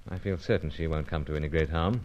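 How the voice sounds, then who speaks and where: A man's voice: a bass voice, quick pace, a little mellow. An actor playing a suave, nonchalant British secret agent who narrates his adventures in a vintage radio serial. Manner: nonchalant, unruffled. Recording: dull, lo-fi vintage radio recording.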